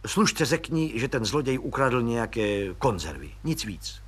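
A man's voice: medium pitch, big pitch swings, slightly rough. A man doing a dry, curt army officer. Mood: dry, businesslike. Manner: dry, curt, matter-of-fact. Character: dry, businesslike male lieutenant colonel.

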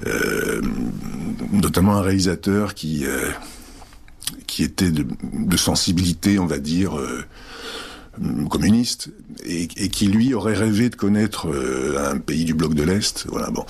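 A man in his fifties, born in Czechoslovakia and raised in France, answering interview questions with many pauses and restarts. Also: low-pitched, animated, slightly rough. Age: 50s. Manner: hesitant, many fillers and restarts. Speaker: guest.

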